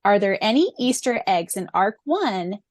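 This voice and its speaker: Woman, fan podcast co-host, excitable, gushing, giggly, dry close sound. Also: mid-range voice, normal speed, dry recording. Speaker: podcast co-host and audiobook narrator. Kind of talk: casual fan podcast chat.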